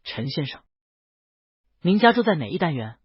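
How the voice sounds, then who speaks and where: A man's voice: fairly high pitch, big pitch swings, normal speed, husky, no echo, full character voice. A man voicing a polite, somewhat puzzled company assistant in an audiobook. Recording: dull, lo-fi, close dry sound.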